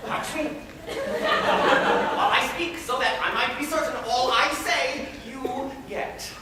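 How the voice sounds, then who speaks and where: A man's voice: very high voice, takes it slowly, a crowd in the background, voice pushed up. An actor playing a pompous, controlling middle-aged male guardian, declaiming rhyming verse in a stage monologue. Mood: smug. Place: high school auditorium. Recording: echoey hall from far off.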